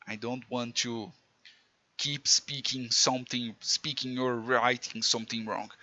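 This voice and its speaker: A man from Brazil with a Brazilian Portuguese accent, a native Portuguese speaker teaching programming in halting English with many restarts. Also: mid-range voice, level tone, sharp tone, no room sound, highs cut off. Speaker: programming tutor. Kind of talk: screen-recorded coding tutorial.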